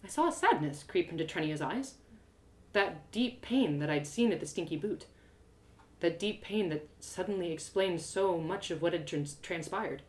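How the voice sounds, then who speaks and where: A woman narrating a fantasy story in the first person as an expressive live reading. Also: lowish voice, moderate pace, echoey room.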